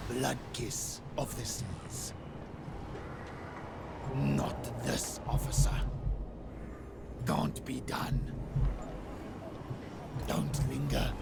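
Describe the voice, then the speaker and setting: An actor voicing a gruff, greedy pirate lord who barks short commands in a video game, with a gravelly voice. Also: male voice, medium pitch, big pitch swings, extremely slow, long pauses, very noisy, exaggerated voice. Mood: menacing. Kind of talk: video game unit voice lines. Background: loud game music.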